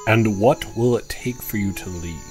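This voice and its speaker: An actor playing a suspicious, guarded druid who tends a forest, curt and formal in an audio drama. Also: male voice, lowish voice, normal speed, darkish tone, soft background music, close to an everyday voice, low-quality recording. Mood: distrustful.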